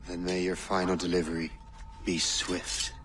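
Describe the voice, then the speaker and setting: Young, resolute rogue captain for a historical game: lower register, terse and earnest, faint score underneath. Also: male voice, completely flat delivery, normal speed, a bit bright, soft background music. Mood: grim. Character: brooding young sea captain, principled, resolute rogue assassin. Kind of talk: video game cutscene acting.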